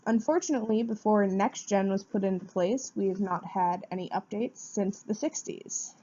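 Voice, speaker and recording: A woman delivers a prepared student presentation in a soft-edged, dark-toned voice. She explains things step by step in a mid-range pitch. The recording is dull and lo-fi. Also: moderate pace. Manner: explanatory, poses questions then answers them. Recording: dull, muffled lo-fi sound.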